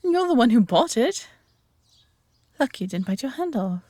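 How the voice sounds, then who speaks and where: A woman's voice: on the high side, very animated, moderate pace, warm tone, no echo. A woman with an English accent playing a friendly, adventurous elf archer in a roleplay audio drama, talking conversationally to the listener. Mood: friendly, chatty.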